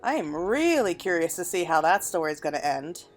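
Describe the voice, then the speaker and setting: A woman's voice: lowish voice, very animated, a little fast. A woman giving casual let's-play commentary, chatting and musing aloud to her viewers as the host. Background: game music.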